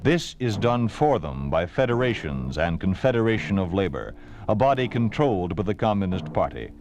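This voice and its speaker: A man's voice: fairly low pitch, very animated, normal speed, darkish tone. An American man announcing from a script in formal, solemn, emphatic 1950s radio style. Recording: hissy, noisy 1950s radio recording.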